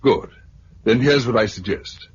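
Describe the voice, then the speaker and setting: A man with a British accent playing a brisk, affable organiser of survivors in a 1950s radio drama. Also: medium pitch, natural voice. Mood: brisk, organising. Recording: muffled, hissy 1950s radio recording.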